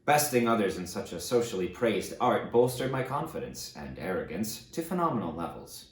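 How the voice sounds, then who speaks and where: Deep, echoey man acting a remorseful old tyrant. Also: sing-song. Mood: reflective. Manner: solemn, dramatic, deliberate storytelling delivery. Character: aged fallen tyrant conqueror, remorseful, self-loathing, grandiose. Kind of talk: dramatic audiobook monologue of a confession letter.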